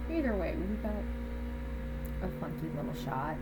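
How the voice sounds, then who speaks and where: A woman's voice: lowish voice, very animated, normal speed. A woman in her thirties, fighting off a cold, chatting and rambling casually while she films her day at home and outside. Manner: chatty, rambling, laughs often. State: congested from a cold. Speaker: vlogger. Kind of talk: casual vlog chat. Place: at home, then outside by the front door. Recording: dull lo-fi camera audio with background noise.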